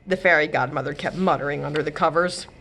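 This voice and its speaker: A woman reading a children's chapter book aloud as a storyteller, with expressive delivery. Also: deep voice, fast talker, gravelly. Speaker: librarian host and storyteller. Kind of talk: children's read-aloud narration.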